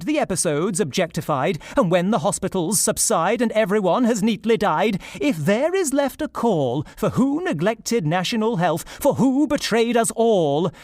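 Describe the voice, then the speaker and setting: A man's voice: sits high, a little mellow, dry recording, clean studio sound. A male actor playing a smug, callous government spokesman, pompously reciting satirical verse in a theatrical, declamatory style. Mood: ominous, grand.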